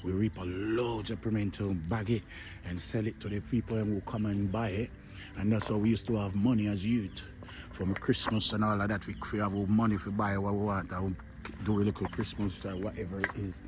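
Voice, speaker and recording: A lowish-voiced Jamaican man rambles warmly to his followers, calling them his people, recorded on a phone as he strolls an outdoor garden. Open-air ambience sits clearly behind him, and he often slips into hushed, near-whispered asides. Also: flat delivery, a little fast, noisy background. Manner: chatty, addresses viewers as "my people", often drops to a murmur. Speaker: travel vlogger. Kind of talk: casual walking vlog.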